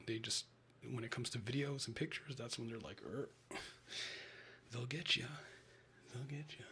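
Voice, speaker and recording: A man with a Chicago Midwestern accent, whispering in a soft and chatty way during a live ASMR stream. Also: medium pitch, moderate pace, a little hiss or hum.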